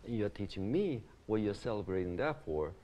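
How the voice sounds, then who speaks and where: A middle-aged man from Taiwan speaks in a lowish, lively voice that swings up and down, talking with his hands as he explains. He answers an interviewer earnestly in an ornate mansion living room, on a lapel mic with faint ambient noise behind him. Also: moderate pace. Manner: animated, gestures with hands while explaining. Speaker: guest, community founder.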